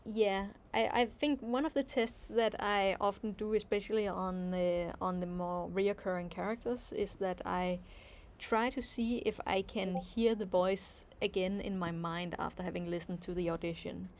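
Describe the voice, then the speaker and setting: A woman's voice: medium pitch, steady tone, normal speed. A woman with a Danish accent, hosting a casual livestream Q&A as an animation creator, reading viewer questions and answering thoughtfully with plenty of ums. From Denmark. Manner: reads viewer questions aloud, then answers thoughtfully with many fillers.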